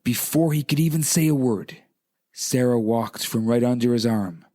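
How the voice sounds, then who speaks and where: A man speaking as a rabbi on radio, in a dramatic, inspirational storytelling style, deliberate and emphatic. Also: medium pitch, warm tone. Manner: deliberate, emphatic, repeats phrases for effect.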